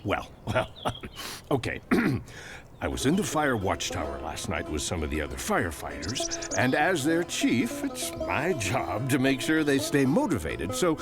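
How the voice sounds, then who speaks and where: An actor playing an awkward, good-natured fire chief who rambles and hesitates in an audio adventure drama. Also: male voice, medium pitch, huge pitch swings, measured pace, over music. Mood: flustered. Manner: bumbling, hesitant, chatty.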